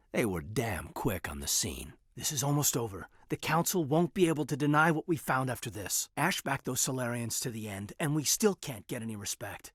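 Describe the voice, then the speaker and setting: An actor playing an earnest, loyal space marine officer in a video game, speaking sincerely and with slight hesitation in a husky voice. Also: male voice, medium pitch, fairly bright tone, no room sound, studio quality. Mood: reflective, then frustrated, insistent. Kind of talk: naturalistic video game dialogue acting.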